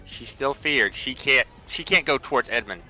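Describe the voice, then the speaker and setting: A man with a very bright, forceful voice explains game rules to friends. He speaks haltingly and often doubles back on his words, with faint music behind. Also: medium pitch, low-quality recording. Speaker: tabletop game player. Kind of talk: casual rules talk.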